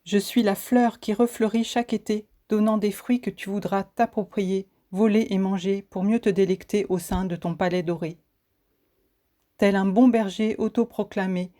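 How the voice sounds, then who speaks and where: Soft-toned woman reciting solemn poetry. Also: mid-range voice. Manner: solemn, declamatory, deliberate phrasing. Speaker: poet reciting own poem. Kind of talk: recited spoken-word poetry.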